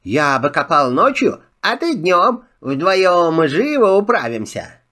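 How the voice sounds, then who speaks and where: A crafty little rabbit chirps in a high, swooping voice. He flatters and wheedles his way through every deal. A lively trickster from a children's filmstrip tale. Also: takes it slowly, exaggerated voice. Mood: sly. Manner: wheedling, flattering, sing-song. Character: sly, chatty little male rabbit trickster. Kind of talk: filmstrip audio drama.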